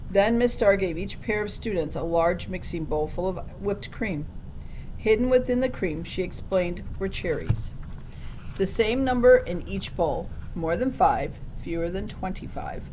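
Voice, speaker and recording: Female storybook reader, slightly low voice, some rumbly background noise. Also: expressive, unhurried, a little mellow, rough recording. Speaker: audiobook reader. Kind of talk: reading a children's storybook aloud.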